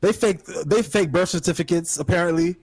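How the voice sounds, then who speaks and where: A man's voice: high-pitched, a little fast, a little mellow, breathy edge, dry recording. Male co-host, teasing storyteller, dry close mic. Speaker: podcast co-host. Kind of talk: casual podcast debate.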